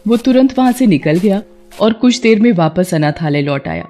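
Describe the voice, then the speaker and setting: Female storyteller, mid-pitched, over soft music. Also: expressive, moderate pace. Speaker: story narrator. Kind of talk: scripted children's moral story narration.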